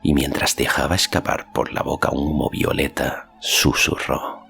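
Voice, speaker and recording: A man's voice: a bass voice, fairly even intonation, moderate pace, creaky, sharp tone, a little hiss or hum. A man reading a fantasy novel aloud as scripted audiobook narration.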